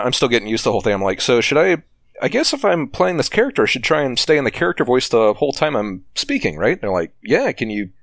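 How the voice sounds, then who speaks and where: A man with a fairly low voice, guesting on a podcast and rambling through stories. He talks loosely and chattily, breaking into laughs. The sound is dry and close to the mic. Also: fairly bright tone, no room sound. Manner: rambling storyteller, laughs often. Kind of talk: casual podcast chat.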